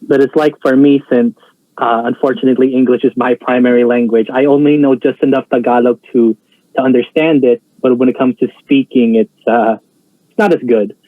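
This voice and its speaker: A man's voice: mid-range voice, fairly even intonation, fairly quick, no echo. A man chatting casually in a reaction discussion, hesitant, with many fillers and restarts. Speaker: co-host reacting to a show. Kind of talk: casual chat. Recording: dry, tinny phone-like sound.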